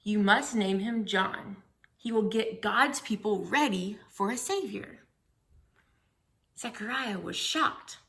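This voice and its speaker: A woman's voice: medium pitch, takes it slowly, gravelly, fairly bright tone. A woman reading a Bible story aloud for young children, explaining things simply and putting questions to her young listeners.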